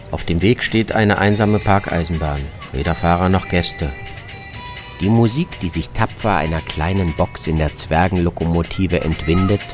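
Tiefer männlicher Radioerzähler. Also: male voice, low-pitched. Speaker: narrator and reporter. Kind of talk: literary scripted travel narration for radio.

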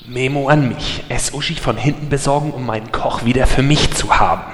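A man playing a sardonic, threatening rogue adventurer in a German comedy fantasy audio drama, with a deadpan delivery. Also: medium pitch, fairly quick, breathy edge. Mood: menacing.

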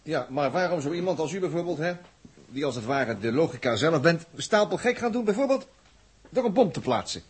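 An excitable, informal male crewman in a 1960s radio space serial. His voice is very husky and slightly high, and he talks in loose, hesitant phrases. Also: brisk, exaggerated voice. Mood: puzzled. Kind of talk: 1960s radio drama acting.

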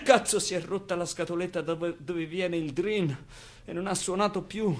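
An actor playing a naive, foul-mouthed, wistful orphan boy in an expressive theatrical monologue, with hesitant repetitions and pauses. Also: sits high, close to an everyday voice. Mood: eager, frustrated. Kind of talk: theatrical monologue, storytelling to an audience.

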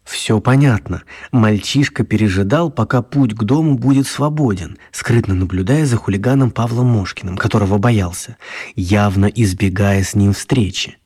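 A male actor as the storyteller of a children's radio play, narrating expressively in a husky voice. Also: fairly low pitch, moderate pace, a little mellow. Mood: storytelling.

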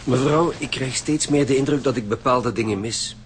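An actor playing a businesslike chief inspector, probing and firm, with a Flemish accent in a radio drama. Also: male voice, medium pitch, moderate pace, a little hiss or hum, close to an everyday voice. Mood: firm, suspicious. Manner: formal, probing questioning. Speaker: actor in a radio crime serial.

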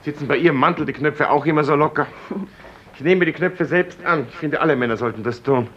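Actor as fussy, hesitant office supervisor, noisy recording. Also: male voice, fairly high pitch, a little fast, a bit bright, highs cut off, natural voice. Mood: indignant, fussy. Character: fussy, nervous, evasive married male office supervisor. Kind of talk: 1950s radio play acting. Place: radio drama studio. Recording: noisy old 1950s radio recording.

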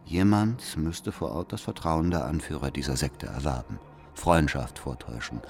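An actor playing a cunning, servile spy in a radio drama, reading out his secret letter in a formal, deferential, measured way. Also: very deep voice, darkish tone. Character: cunning male spy, servile and coldly calculating. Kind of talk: radio drama, letter read as inner monologue.

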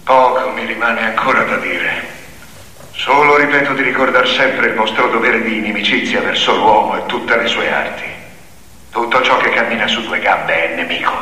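Man playing forceful old boar prophet, echoing hall. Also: medium pitch, close to an everyday voice. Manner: declamatory, sermon-like commandments. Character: solemn old boar prophet exhorting farm animals. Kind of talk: theatrical radio drama speech. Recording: echoey hall with long reverb.

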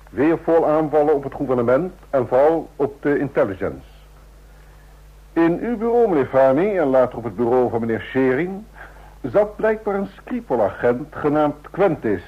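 An actor playing a suspicious, authoritative president in a radio drama, with a husky voice and a pompous, rhetorical manner. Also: male voice, mid-range voice, lively intonation, normal speed. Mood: stern. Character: male state president, suspicious, authoritative, probing statesman. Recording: dull lo-fi old radio recording.

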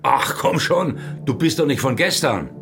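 An actor playing a sly, jovial criminal in a German radio crime drama, talking in an insinuating, persuasive way. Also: male voice, medium pitch, expressive, normal speed, gravelly, fairly bright tone, faint music behind. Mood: needling. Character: sly, jovial criminal man goading his acquaintance toward murder.

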